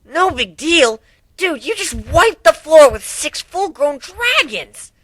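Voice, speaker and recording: Man as a loud, high, brash girl pony. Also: very animated, slow, no echo, exaggerated voice. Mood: amazed gushing. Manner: gushing, loud, slangy. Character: brash, excitable young female flying pony.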